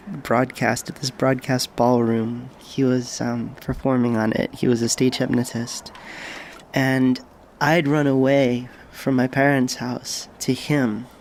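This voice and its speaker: An actor playing a lonely, dreamy young janitor, telling his story in a tender, halting, hushed way as in an intimate audio drama. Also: mid-range voice, a little hiss or hum. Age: young adult.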